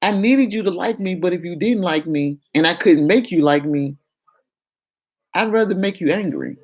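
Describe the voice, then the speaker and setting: A woman vlogger with a fairly deep, projecting voice, talking frankly and confessionally to her viewers in a casual way. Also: normal speed, a little mellow, breathy edge. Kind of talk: candid casual talk to viewers.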